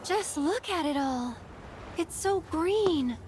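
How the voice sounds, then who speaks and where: Game heroine: gentle young adventurer, high voice, slow thoughtful delivery, audible ambience. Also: female voice, lively intonation, slight background noise, heavily acted. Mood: awed, curious. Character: gentle, wonder-filled young female adventurer. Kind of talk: cinematic video game acting.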